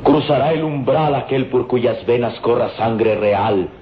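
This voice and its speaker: An actor playing a solemn, loyal Egyptian disciple who guards an ancient secret, speaking in a formal, portentous manner for radio drama. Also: male voice, mid-range voice, a little slow, natural voice. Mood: grave, mystical. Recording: dull, noisy vintage radio recording.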